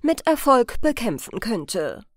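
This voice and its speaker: A woman as a self-assured master criminal, emphatic, lecturing, animated pitch, audiobook. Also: slow pace, no room sound, clean studio sound, heavily acted.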